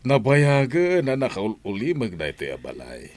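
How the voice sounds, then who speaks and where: A man with an Ilocano accent and gravelly voice playing a fatherly older man in a radio drama. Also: medium pitch, huge pitch swings, moderate pace, dry recording. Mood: advising. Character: fatherly older man advising a young couple.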